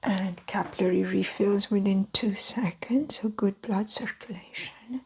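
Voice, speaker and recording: A woman performs an ASMR medical roleplay in a soft whisper at a middling pitch. She speaks very slowly, giving gentle, methodical instructions and observations like a doctor at an exam. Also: poor audio.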